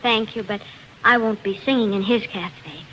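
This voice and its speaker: An actress playing a heartfelt young singer and bride-to-be in a 1940s radio drama. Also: female voice, mid-range voice, moderate pace, sharp tone, lightly acted. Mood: hurt, accusing. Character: heartfelt young woman singer, bride-to-be, quick to feel betrayed. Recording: muffled, hissy 1940s radio broadcast.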